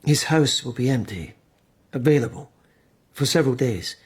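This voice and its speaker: An actor playing a male court investigator in a radio drama, probing and mannerly but goading, with measured questioning. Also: mid-range voice, animated, slightly rough. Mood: hesitant, tentative. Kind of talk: BBC radio drama acting.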